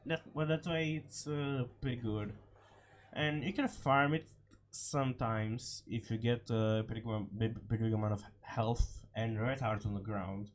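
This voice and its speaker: A man giving casual, unscripted game commentary, halting and repeating short phrases, his voice slightly hoarse from a cold. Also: medium pitch. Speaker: gaming video creator.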